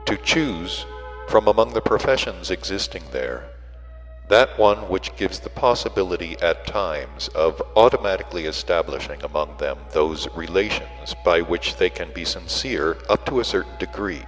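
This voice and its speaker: A man reading a literary audiobook in a deliberate way, with frequent pauses between phrases. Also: deep voice, animated. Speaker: audiobook reader. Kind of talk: scripted literary narration. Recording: reverberant hall sound with music.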